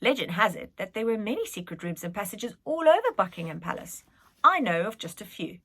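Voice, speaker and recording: An old royal nanny from a storybook , acted out by a woman reader. She is brisk and bossy but protective, speaking emphatically in a bright, animated voice. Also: medium pitch, husky, full character voice. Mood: fond, knowing.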